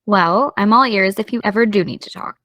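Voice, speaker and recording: A woman playing a caring, gently teasing young woman in an audio drama, speaking in a casual, slightly tentative way. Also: mid-range voice, brisk, dry recording, lightly acted. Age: young adult. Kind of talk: naturalistic audio drama dialogue.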